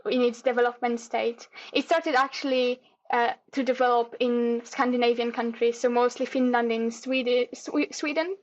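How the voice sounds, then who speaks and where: A Polish woman in her twenties with a Polish accent, chatting as a guest in an interview. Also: fairly high pitch. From Poland. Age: 20s. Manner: friendly, chatty with frequent fillers. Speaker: guest, hobby horse competitor. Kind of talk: casual interview. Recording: dull lo-fi audio.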